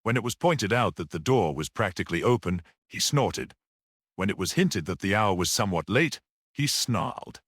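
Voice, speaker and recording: Low-voiced man narrating a mystery story. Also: lively intonation, normal speed, a bit bright, slightly rough, no room sound, studio quality. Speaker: audiobook narrator.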